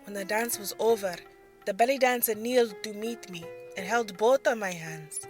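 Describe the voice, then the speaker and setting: A woman playing an earnest, wistful young belly dancer recounting her life in a fantasy audio drama, speaking in a measured way. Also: medium pitch, faint music behind, lightly acted. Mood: wistful, reminiscing.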